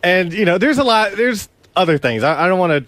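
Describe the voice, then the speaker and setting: A man with a slightly high voice and a close, dry sound. He answers in casual radio chat, hesitant and halting, reluctant to give much away. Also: animated, fairly bright tone, dry recording. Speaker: radio show staffer.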